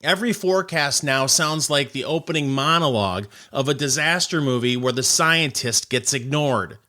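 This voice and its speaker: A man's voice: mid-range voice, normal speed, pushed and bright. A man hosting a scripted comedic news monologue, with a wry, sarcastic manner and punchy, emphatic phrasing. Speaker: host of a short comedic news commentary show.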